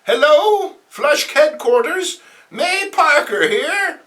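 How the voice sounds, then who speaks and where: Man doing a shrill, doting old aunt. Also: big pitch swings, a bit bright, distant mic, full character voice. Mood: chirpy greeting. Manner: drawn-out, doting, theatrical. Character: sweet, fussing elderly aunt.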